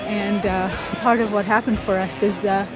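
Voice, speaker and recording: A woman in her thirties from Ethiopia, telling stories in a casual interview with thoughtful pauses and soft laughs. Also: medium pitch, fairly even intonation, over music, poor audio. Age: 30s. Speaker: interviewee, pickup soccer player.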